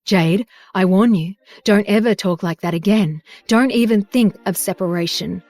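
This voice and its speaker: A woman's voice: on the high side, normal speed, darkish tone, no room sound, close to an everyday voice. Brief: possessive young husband, voiced by a woman reader, firm and insistent, faint music behind. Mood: stern.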